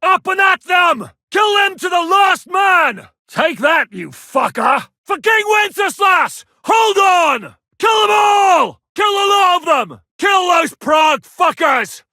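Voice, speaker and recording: An actor playing a gruff, blunt medieval war captain who shouts battle orders. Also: male voice, very high voice, big pitch swings, slow pace, no echo, clean studio sound, full character voice. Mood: shouting orders. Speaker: military commander. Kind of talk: game voice lines.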